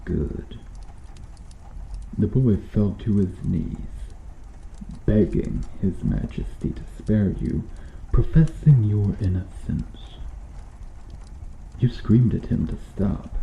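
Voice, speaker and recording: A very low, gravelly voice belongs to a bitter medieval knight acted out by a man, brooding and menacing as he interrogates. He takes his time over every phrase in an intimate ASMR roleplay style. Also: big pitch swings, reverberant, lo-fi sound, slight background noise, heavily acted. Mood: grave, probing. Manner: brooding, menacing, deliberate delivery with dropping hushed phrases. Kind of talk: slow ASMR roleplay acting.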